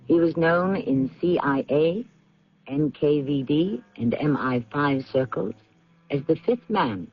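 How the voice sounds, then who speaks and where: A man hosts an old radio mystery in a husky, fairly high voice. His narration is deliberate and portentous, with lively pitch swings, on a dull lo-fi recording. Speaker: host and announcer.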